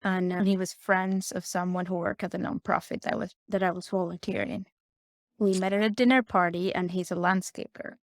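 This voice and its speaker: A woman from Peru in her thirties, a podcast guest talking in a relaxed way full of "like" fillers. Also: fairly low pitch, normal speed, no echo, clean studio sound. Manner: chatty, with frequent "like" fillers. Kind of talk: conversational interview.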